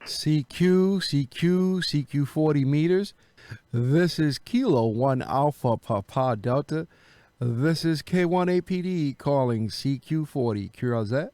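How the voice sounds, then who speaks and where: A man's voice: on the high side, slow pace, warm tone, slight background noise. A man who is an amateur radio operator, chatting casually and making radio calls. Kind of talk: casual chat and radio calling with phonetic alphabet.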